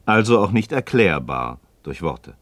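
A man's voice: low-pitched, very animated, unhurried, a bit bright, no echo, full character voice. An actor playing an inquisitive, skeptical man in a radio play, speaking articulate, rhetorical in philosophical dialogue. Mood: puzzled, probing.

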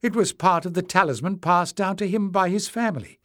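A man's voice: high-pitched, a little fast, fairly bright tone, no echo, clean studio sound, pitched above the performer's own voice. A man voicing a fierce, urgent young adventurer woman in an audiobook story. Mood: calm.

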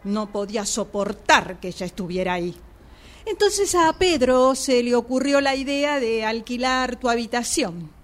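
Woman doing a money-minded argentine sister in a radio play, matter of fact, justifies herself, voice a bit high. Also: animated, normal speed, natural voice. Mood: defensive. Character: practical, money-minded sister defending her choices. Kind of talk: amateur radio drama acting.

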